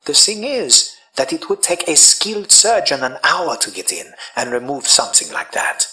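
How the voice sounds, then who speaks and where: A cool, learned doctor in a radio drama. He speaks in a precise, clinical manner with a bright, forceful tone and a slightly high pitch. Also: very animated, normal speed, heavily acted, low-quality recording.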